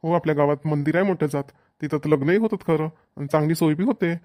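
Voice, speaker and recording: Fast-talking village dad acted by a man, higher-pitched. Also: a little mellow, no echo, studio quality, close to an everyday voice. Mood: agreeable. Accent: Varhadi Marathi. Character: practical middle-aged village father, bossy but agreeable. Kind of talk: Marathi cartoon comedy dialogue.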